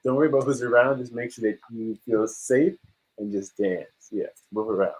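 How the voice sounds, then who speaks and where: A man's voice: medium pitch, fairly even intonation, moderate pace, a little mellow, husky, poor audio. A man from Guyana, a musician chatting casually as an interview guest in an encouraging, easygoing manner.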